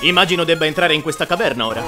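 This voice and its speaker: A man's voice: fairly high pitch, expressive, rapid-fire, music in the background, close to an everyday voice. A man voicing a jaded, foul-mouthed young trainer, sardonic and exasperated, in a comic cartoon dub.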